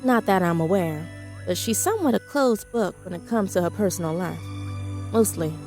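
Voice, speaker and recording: An actress playing a feisty, decisive adventure heroine with dry wit in a pulp audio drama. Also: female voice, on the low side, expressive, normal speed, darkish tone. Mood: wry. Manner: decisive, wry. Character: feisty, dry-witted adventurous film-star heroine, adult woman. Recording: music behind the voice.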